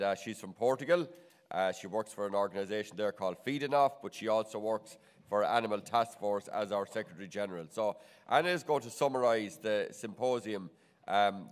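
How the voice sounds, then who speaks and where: A middle-aged Irish man with a cold that makes him nasal, chairing a conference and opening it from a podium microphone in a large wood-panelled hall. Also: fairly low pitch. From Ireland. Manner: measured, frequent restarts and fillers. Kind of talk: formal opening remarks. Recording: long hall reverb.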